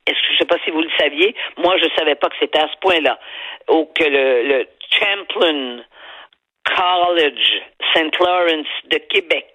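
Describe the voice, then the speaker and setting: An older Quebec woman speaks in a sharp, husky voice, close and dry, as if in a talk radio exchange. She delivers opinions with emphasis, then halts, doubles back and digresses, tossing rhetorical asides to her listener. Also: low voice, unhurried, no room sound, poor audio. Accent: Quebec French. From Quebec, Canada. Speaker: columnist and commentator on a talk show. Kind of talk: opinionated radio commentary in conversation.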